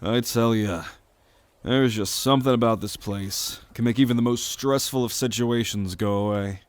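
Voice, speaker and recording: A man's voice: medium pitch, moderate pace, no echo, close to an everyday voice. A man playing a laid-back young guy in an ASMR roleplay audio drama, casual, teasing and sarcastic, with free swearing. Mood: relaxed.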